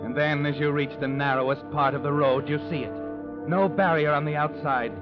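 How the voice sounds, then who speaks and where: An actor playing a sinister, all-knowing narrator in an old radio noir drama, ominous and insinuating. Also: male voice, on the high side, fairly even intonation, fairly quick, lightly acted. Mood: ominous, suspenseful. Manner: ominous, insinuating second-person storytelling. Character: sinister all-knowing male narrator haunting a guilty man. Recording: dull old radio recording, music behind. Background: music.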